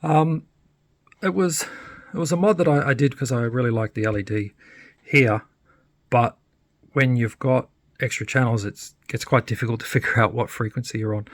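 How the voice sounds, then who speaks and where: Man, mid-pitched voice, dry close sound, informal explainer, halting delivery, hobbyist show-and-tell. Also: no echo. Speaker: electronics hobbyist presenter. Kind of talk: casual hands-on demonstration.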